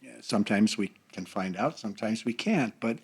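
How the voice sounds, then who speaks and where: A man's voice: fairly low pitch, expressive, normal speed, slightly rough. An older American man talking casually and earnestly as a guest from a church group. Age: older, over 50.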